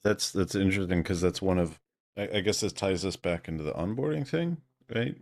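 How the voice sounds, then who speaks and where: A man's voice: deep voice, a little fast. A man in a casual chat, responding as a conversation partner.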